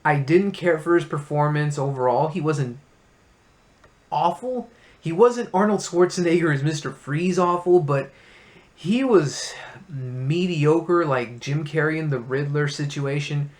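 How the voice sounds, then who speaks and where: A man's voice: fairly high pitch, unhurried. A man hosting a casual movie review, talking as an enthusiastic fan in a room full of memorabilia.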